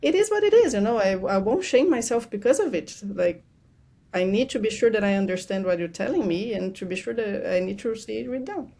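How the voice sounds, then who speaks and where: A woman's voice: mid-range voice, lively intonation, fairly quick, vocal fry, dark tone. A woman speaking English as a second language, chatting frankly and encouragingly as a guest in an interview. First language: not English. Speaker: podcast guest, financial educator. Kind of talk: casual interview chat.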